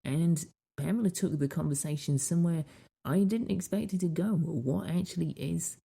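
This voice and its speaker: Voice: mid-range voice, moderate pace, gravelly, dark tone, husky. A podcast host delivering a conversational wrap-up to the listeners.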